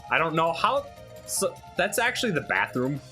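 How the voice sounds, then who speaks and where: A young man giving casual, halting video game commentary while he plays. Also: fairly high pitch, very animated, sharp tone. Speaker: let's play host playing the game. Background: faint game music.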